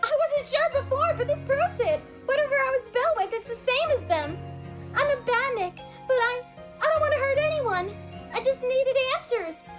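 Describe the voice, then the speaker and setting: A shy cartoon robot girl , played by a woman speaks in a very high, hesitant voice and trips over her words. A loud music track plays under the dialogue. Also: animated, moderate pace. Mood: earnest. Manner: hesitant, stammering. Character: timid young robot girl, gentle and unsure.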